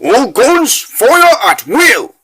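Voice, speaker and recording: A man's voice: very high voice, huge pitch swings, very slow, bright, forceful tone, slight background noise, voice pushed up, heavily acted. A man voicing a stern, suspicious soldier captain in a comic dub, barking military commands aggressively. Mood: commanding.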